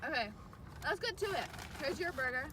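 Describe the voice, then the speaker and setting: A woman's voice: high voice, expressive, a little fast, noisy background. A young woman chatting casually and goofily while eating as co-host of a vlog, inside a parked car. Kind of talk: casual chat. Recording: other people's chatter and vehicle sound.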